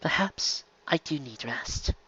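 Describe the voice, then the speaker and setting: Determined alien scholar woman, read by a man: soft, earnest delivery for sci-fi storytelling. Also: on the high side, animated, slow pace, husky, highs cut off, heavily acted. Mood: resigned.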